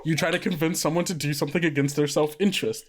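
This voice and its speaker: Relaxed, hesitant tabletop game master. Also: mid-range voice, quick pace, noisy background. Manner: relaxed, hesitant narration with fillers.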